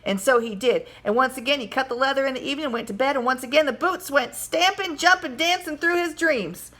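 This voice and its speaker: Female storybook reader, teacherly and expressive, higher-pitched with a very bright tone. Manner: animated, teacherly, pauses to question listeners. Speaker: primary school teacher reading aloud. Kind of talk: expressive children's storybook read-aloud.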